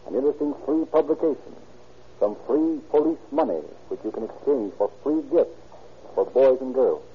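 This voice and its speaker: A tinny old broadcast with light noise carries a male announcer of medium pitch. He reads scripted narration and sponsor copy in a formal, declamatory 1930s radio style. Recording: tinny, slightly noisy old radio recording.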